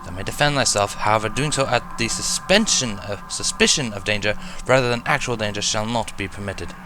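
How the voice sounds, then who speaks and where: A man's voice: on the low side, animated, brisk, noisy background, close to an everyday voice. A man voicing a female alicorn princess who speaks in stern, formal, archaic English. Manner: formal archaic speech with thee and thou.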